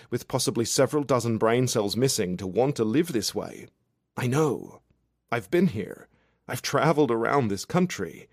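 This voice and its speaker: Guy reading as sincere boyfriend in an audiobook, soft and halting, tender, dry sound. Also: medium pitch, no echo, voice pushed up. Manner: gentle, hushed, halting. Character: earnest returning lover, young man, tender and steady. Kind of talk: audiobook character dialogue.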